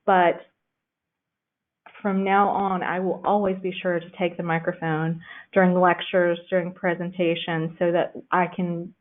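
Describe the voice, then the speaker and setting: A woman giving a conversational library lecture, pausing often with "um". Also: fairly low pitch. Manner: conversational, frequent "um" fillers. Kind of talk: recorded lecture with slides. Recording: tinny phone-like recording.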